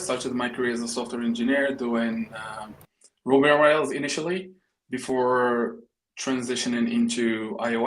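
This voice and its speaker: A man from Morocco answering interview questions as a startup guest, speaking conversationally with halting, repeated words. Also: medium pitch, slight background noise, poor audio. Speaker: interview guest, startup co-founder and engineering head.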